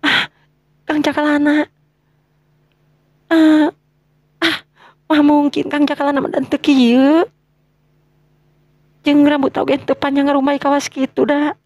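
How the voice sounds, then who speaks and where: A woman playing a gentle, anxious young maiden in a folktale, speaking in a hesitant, polite, plaintive way. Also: high voice, flat delivery, slow pace, long pauses, dry recording. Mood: puzzled. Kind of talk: Sundanese folktale character acting.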